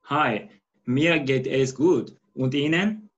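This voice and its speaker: A man's voice: medium pitch, takes it slowly. A man in his thirties with a Turkish accent, a student carefully practising a dialogue in a language class. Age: 30s. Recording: dull online call.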